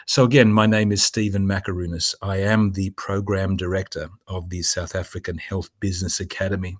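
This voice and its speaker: Australian man, very low voice, tired, polite presenter, dry sound, video call. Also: little pitch movement, brisk, no room sound. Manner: polite, courteous, with fillers. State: tired, late at night. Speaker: presenter, program director. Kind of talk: webinar presentation.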